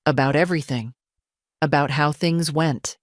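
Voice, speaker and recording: A conciliatory adult son as a woman narrator plays him speaks tentatively and haltingly. The audio-story recording is dry and close. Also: low-pitched, fairly even intonation, dry recording, natural voice.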